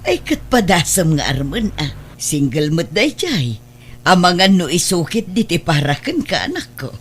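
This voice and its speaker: A woman's voice: lowish voice, sing-song, normal speed, slight background noise. An actress playing an affectionate older mother and grandmother in an Ilocano radio drama, speaking tenderly and expressively. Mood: gentle concern.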